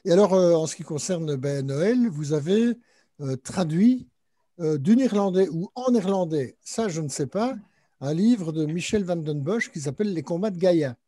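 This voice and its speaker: A man's voice: on the high side, lively intonation, normal speed, mellow, no room sound, studio quality. A man moderating a literary panel, introducing the guests and their books with many hesitations and fillers. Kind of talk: panel discussion hosting.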